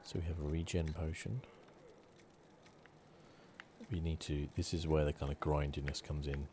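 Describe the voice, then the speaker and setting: Very deep, mellow ASMR gamer man. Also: level tone, fairly quick, slight background noise. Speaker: gaming channel host. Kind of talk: soft ASMR game playthrough commentary.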